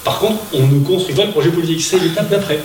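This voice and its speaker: Male meeting speaker, fairly high voice, plain-spoken and informal, heard off-mic in an echoey room. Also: quick pace, over music. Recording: roomy, distant mic, background noise.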